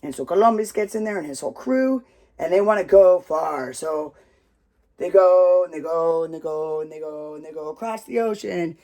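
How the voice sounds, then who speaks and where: An American storyteller telling a family tale with props in a loud, rambling, casual way, swearing freely. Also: mid-range voice, normal speed. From United States.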